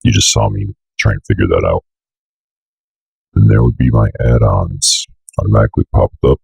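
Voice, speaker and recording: A young man with an extremely low voice, full of heavy vocal fry. He talks in an offhand, casual way, half to himself, as he walks through a software setup. The sound is dry and close. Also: fairly even intonation, moderate pace, no echo. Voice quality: gravelly, raspy. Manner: offhand, muttering to himself while working. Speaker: tutorial host. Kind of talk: casual unscripted software walkthrough.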